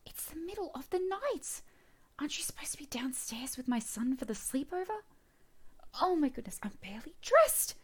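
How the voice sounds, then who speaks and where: Woman playing a teasing mother, low voice, gravelly, soft coaxing whispers, close mic. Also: measured pace, pauses often, full character voice. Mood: startled, scolding. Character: teasing, commanding middle-aged mother, woman. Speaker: voice actor in an audio roleplay. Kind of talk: solo audio roleplay.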